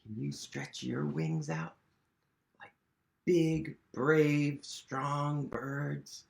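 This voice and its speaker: A man reading a picture book aloud to children, gently asking them questions and sometimes dropping to a whisper. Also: fairly high pitch, steady tone, very slow, creaky. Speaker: museum storytime reader.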